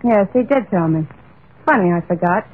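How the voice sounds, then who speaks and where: A woman's voice: mid-range voice, animated, normal speed, breathy edge. A woman acting a resentful, murderous daughter-in-law in an old radio horror play. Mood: feigned innocence. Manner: intense, repetitive. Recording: tinny, noisy old radio recording.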